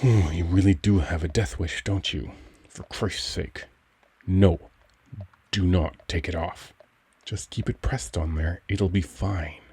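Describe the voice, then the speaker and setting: A man playing a gentle, cultured young vampire in an intimate ASMR roleplay, speaking formally and tenderly as he struggles for control. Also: deep voice, takes it slowly, husky, no echo, heavily acted. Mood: tense, commanding. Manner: formal, courtly, tender, brooding.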